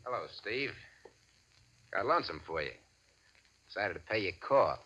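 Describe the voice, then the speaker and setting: An actor playing a ruthless, impatient gang boss in 1940s radio crime drama style, commanding and sarcastic with a mock-friendly menace. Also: male voice, lowish voice, animated, moderate pace, sharp tone, husky, full character voice. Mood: mockingly menacing. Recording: dull old radio broadcast recording.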